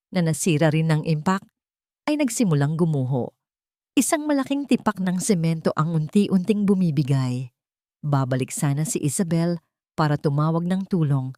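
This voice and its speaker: Female storyteller, lower register, dry studio sound, dramatic scripted romance narration. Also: mellow, no room sound.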